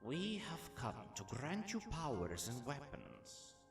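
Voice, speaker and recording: A man playing a mysterious, solemn old man with divine power in a fantasy audio drama, speaking in a slow, measured, instructive manner. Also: medium pitch, wildly swooping pitch. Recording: echoing voice under loud music.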